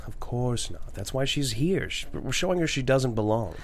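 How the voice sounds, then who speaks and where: A man's voice: medium pitch, lively intonation, moderate pace, slight background noise, close to an everyday voice. Pompous, bragging rich tycoon, played by a man. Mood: scornful. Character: boastful crude spoiled rich tycoon, man. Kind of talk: live comedy script table read.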